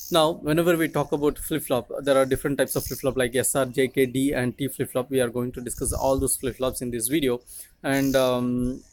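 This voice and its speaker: A young man with a Tamil Indian accent teaches at mid pitch. He explains step by step like a lecturer, haltingly, repeating himself and often checking in with a short tag word. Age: 20s. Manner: halting, repetitive, frequently checking with "okay". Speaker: teacher, video channel host.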